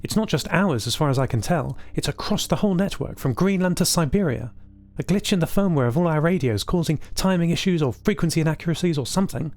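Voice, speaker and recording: A man's voice: a little high, animated, fast talker, a little mellow, pitched above the performer's own voice. A man voicing a friendly, helpful female radio technician in a casual audio drama conversation. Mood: matter-of-fact. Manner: casual, easygoing, chatty. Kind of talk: scripted audio drama dialogue.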